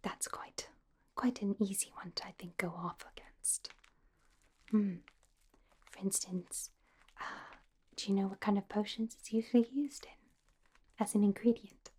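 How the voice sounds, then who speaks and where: A very breathy, whispered voice from a woman acting out a bookish teenage witch schoolgirl in an ASMR roleplay. The tone is soft and mellow. She is earnest and caring, helping patiently with small hesitant pauses and a touch of gentle chiding. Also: mid-range voice.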